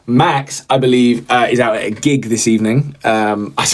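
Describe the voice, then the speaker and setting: A man's voice: medium pitch, moderate pace. A young man hosts a casual livestream. He rambles and trails off, filling gaps with ums and repeated phrases as he answers viewers. He speaks close to the mic in a medium voice. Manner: rambling, repeats himself, many fillers. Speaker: livestream host.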